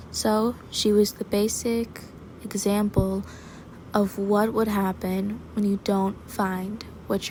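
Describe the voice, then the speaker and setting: A woman giving casual, rambling commentary while she draws, soft and quiet, self-deprecating and prone to hesitant restarts. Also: mid-range voice, a little hiss or hum. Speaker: digital artist and channel creator. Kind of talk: casual commentary over a speedpaint.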